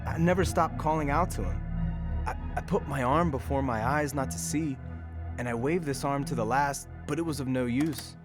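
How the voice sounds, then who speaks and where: A man's voice: mid-range voice, normal speed, over music, lightly acted. A man playing a grave, shaken railway engine driver who speaks deferentially in an audio drama. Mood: distraught. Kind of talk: audio theater drama acting.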